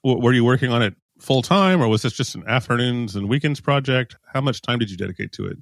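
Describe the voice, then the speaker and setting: A man's voice: medium pitch, expressive, fast talker, creaky, no echo, studio quality. A man hosting a podcast interview, asking questions in a casual, friendly way with brief replies in between. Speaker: podcast host.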